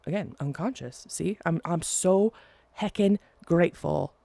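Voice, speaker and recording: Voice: medium pitch, unhurried, creaky, dark tone, no echo. A podcast host with a congested, nasal voice, talking casually and rambling into playful asides while recording alone at home. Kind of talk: casual solo podcast talk.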